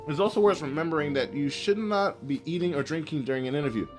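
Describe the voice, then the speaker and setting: A man's voice: on the high side, fast talker, over music. A man hosting an informal advice podcast, talking in a conversational, instructive way from loose notes. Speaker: podcast host.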